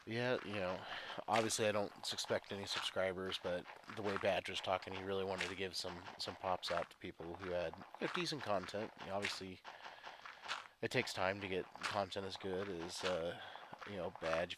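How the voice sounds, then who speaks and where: A man's voice: low-pitched, brisk. A man with a head cold, congested and sniffling, chatting casually over gameplay in a rambling, chuckling way. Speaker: gaming video creator. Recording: loud background noise and clicks.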